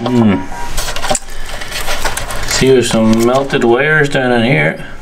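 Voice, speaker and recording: A man narrating hands-on repair work in an unscripted, matter-of-fact way, pausing between steps as a mechanic does. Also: medium pitch, fairly even intonation. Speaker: bike mechanic and channel host. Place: indoor workshop. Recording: clear workshop noise, clanking tools.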